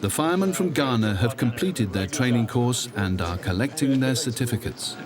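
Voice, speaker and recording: A man giving the scripted narration of a documentary in a measured, even delivery. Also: mid-range voice, a crowd in the background.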